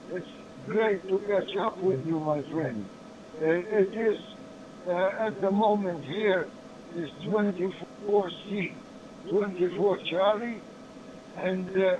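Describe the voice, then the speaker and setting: A man from Australia, a radio amateur talking in an unhurried friendly way over a radio contact. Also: sits high. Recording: muffled noisy radio audio.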